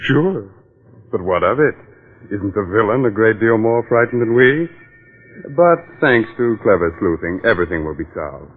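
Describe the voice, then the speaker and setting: A man's voice: fairly low pitch, expressive, moderate pace, breathy edge. A man hosting a 1940s radio mystery show, reading a script in a theatrical, confiding way straight to the listener. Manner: theatrical, confiding direct address. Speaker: program host and pitchman. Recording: muffled old radio broadcast recording. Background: music.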